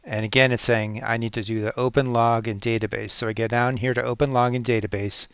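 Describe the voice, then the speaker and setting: An American man giving an informal step-by-step software tutorial, thinking aloud as he works through each step. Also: mid-range voice, flat delivery, a little fast, smooth, no room sound, highs cut off. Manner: thinks aloud while working through steps. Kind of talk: step-by-step screen-recording tutorial narration, informal.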